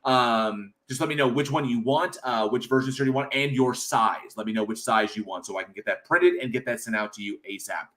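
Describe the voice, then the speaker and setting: A man's voice: medium pitch, brisk, hardly any pauses, a bit bright. An American man hosting a casual livestream, rambling with many fillers and reading chat aloud from home. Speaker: livestream host.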